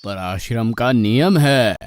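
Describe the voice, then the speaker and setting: A man as an unhurried elderly ashram guru. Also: mid-range voice, animated, dry recording. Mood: firm, coaxing. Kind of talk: animated devotional story dialogue.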